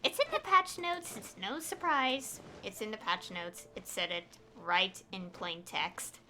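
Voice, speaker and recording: A woman's voice: medium pitch, a little slow, sharp tone, slight background noise, poor audio. A woman game streamer chatting casually and rambling, with an exasperated, opinionated, pleading emphasis. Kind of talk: casual rambling livestream chat.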